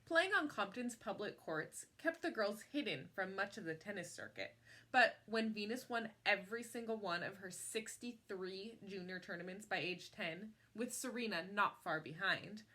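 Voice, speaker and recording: Bright-voiced woman reading a story aloud. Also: mid-range voice, lively intonation, moderate pace. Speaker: picture book reader. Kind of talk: expressive read-aloud storytelling.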